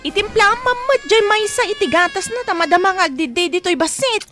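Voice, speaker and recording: Weary young wife played by a woman, Ilocano accent, piercingly high, pleading and nagging, radio comedy drama. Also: expressive, a little fast, pushed and bright, faint music behind, heavily acted. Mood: exasperated.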